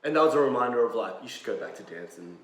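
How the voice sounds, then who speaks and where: A man's voice: medium pitch, lively intonation, rapid-fire. A man in his twenties with an Australian accent, a dancer and podcast guest telling stories in easygoing slang, full of "like" and "bro". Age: 20s. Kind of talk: casual storytelling. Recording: roomy sound from a distant mic.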